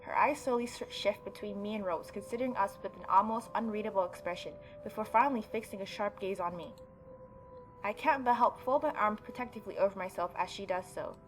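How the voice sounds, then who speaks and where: A woman's voice: mid-range voice, a little fast, soft background music. A young adult woman doing a casual let's play, reading game text aloud and commenting informally. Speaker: gaming YouTuber.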